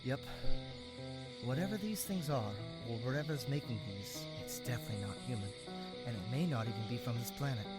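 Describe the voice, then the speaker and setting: A man's voice: mid-range voice, lively intonation, a little fast, over loud music, lightly acted, low-quality recording. A man playing a wry, cryptic forensics analyst in an audio drama, phrasing things dramatically. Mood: intrigued, ominous.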